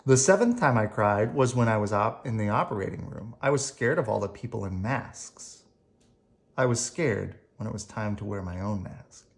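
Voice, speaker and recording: A storyteller reading a children's picture book aloud in a gentle bedtime manner, adding spoken asides along the way. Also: mid-range voice, animated, normal speed. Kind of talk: expressive read-aloud with ad-libbed asides.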